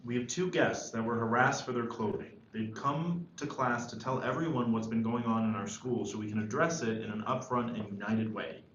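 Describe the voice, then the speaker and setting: A man acting an idealistic, well-meaning high school senior in a screenplay table read, tentative and earnest. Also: moderate pace, few pauses, a bit bright, natural voice. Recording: echoey room, dull lo-fi, faint noise.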